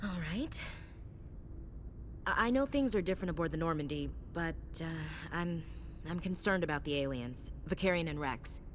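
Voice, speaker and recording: A somewhat low female voice as a space navy chief. She is earnest, wary and respectful in a plain-spoken game-dialogue way, with faint rumble. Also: animated, moderate pace, fairly bright tone. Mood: concerned.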